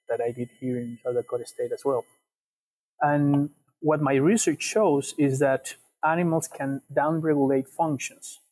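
A young man from Ecuador with an Ecuadorian Spanish accent explains research as an interview guest, in a measured way, often repeating words as they go. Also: mid-range voice, a little mellow, husky, rough recording. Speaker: guest researcher, PhD student.

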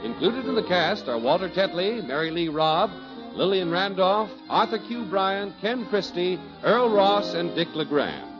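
A man's voice: high-pitched, very animated, measured pace. An American man announcing from a script in polished 1950s radio style. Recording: muffled old radio broadcast, music behind. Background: music.

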